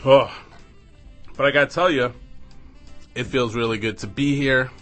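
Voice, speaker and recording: Rambling radio DJ man, bright voice. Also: mid-range voice, fairly even intonation, soft background music. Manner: rambling, self-deprecating, halting restarts. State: raspy from coughing. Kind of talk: casual radio banter. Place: radio studio. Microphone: studio microphone.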